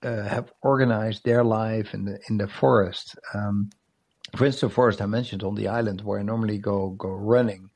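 A man with a low, gravelly voice that turns husky as he starts losing it. He talks in halting, looping sentences, repeating words while he gathers his thoughts. His tone is dark and muffled. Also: fairly even intonation. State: losing his voice, clearing throat. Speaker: podcast co-host. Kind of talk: casual podcast chat. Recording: call-in app audio.

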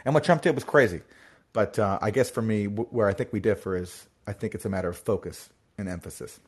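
Low-voiced man hosting a call-in show. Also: slightly rough. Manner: measured, deliberate, reasons point by point. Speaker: show host.

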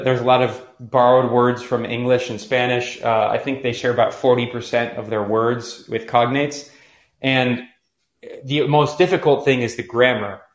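A young man hosting a call-in podcast, talking casually and thinking aloud with many fillers and restarts. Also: medium pitch. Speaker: podcast host taking calls. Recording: roomy echo.

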